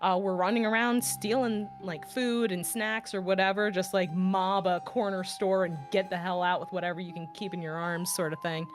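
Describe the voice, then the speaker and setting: A woman's voice: mid-range voice, little pitch movement, moderate pace, few pauses. A woman talking casually and at length as a tabletop player, in an informal, wordy way. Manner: wordy, rambling, informal swearing. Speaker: tabletop role-playing player. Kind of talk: casual chat about their character's backstory. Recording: music playing behind the voice. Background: clear background music.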